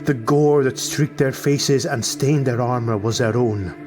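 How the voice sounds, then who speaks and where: Slow, dramatic male audiobook narrator. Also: medium pitch, music in the background. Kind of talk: dramatic scripted fantasy narration.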